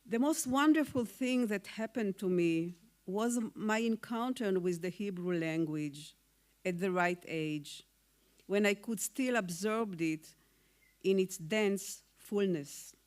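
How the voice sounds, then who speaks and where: A woman whose first language is Hungarian, a poet giving a formal acceptance speech in a slow, measured, deliberate reading. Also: mid-range voice, a little mellow. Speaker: award-winning poet.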